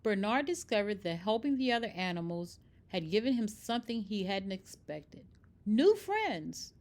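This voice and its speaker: A woman reading a children's picture book aloud in expressive, scripted narration. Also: medium pitch, normal speed. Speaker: storybook reader.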